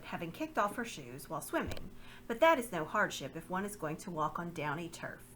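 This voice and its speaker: A woman reading a children's novel aloud in a lively, expressive way. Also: lowish voice, a little hiss or hum, rough recording. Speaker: reader.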